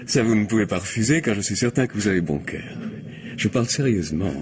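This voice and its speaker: An actor playing a glib, insistent gentleman in an old French radio play, talking a lot, wheedling and stammering. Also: on the low side, expressive, fast, lightly acted. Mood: smooth flattering. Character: glib, insistent gentleman pestering a young woman. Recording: noisy old radio drama recording.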